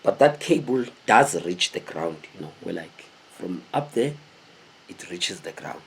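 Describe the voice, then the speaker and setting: A South African man in his thirties tells a story casually to camera, animated and digressive, repeating words for emphasis in a husky voice. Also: deep voice, a little slow, slight background noise. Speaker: vlogger telling stories. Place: small indoor room with a cloth backdrop.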